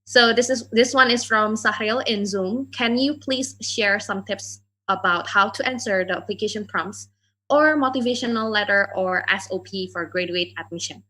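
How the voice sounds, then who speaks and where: Woman moderator, mid pitch, bright voice, relaxed and loose. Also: normal speed, low-quality recording. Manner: relaxed, loose phrasing with fillers. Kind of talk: online Q&A hosting.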